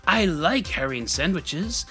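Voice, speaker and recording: Man acting as English storyteller, dramatic comic reading, pompous, droll, bright voice, music underneath. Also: a little high, sing-song, slow, full character voice. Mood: droll, theatrical. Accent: put-on English. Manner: theatrical, droll.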